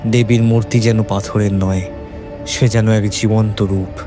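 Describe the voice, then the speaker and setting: A man's voice: fairly low pitch, flat delivery, measured pace, over music. A man narrating a scripted horror story in a dramatic, suspenseful manner.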